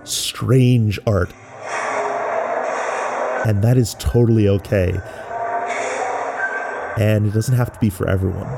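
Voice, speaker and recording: A man who works as a musician explains experimental music in a measured, thoughtful way with pauses. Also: lowish voice, fairly even intonation, vocal fry, heavy background noise. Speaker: musician and composer. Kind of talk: explanatory talk about making experimental music.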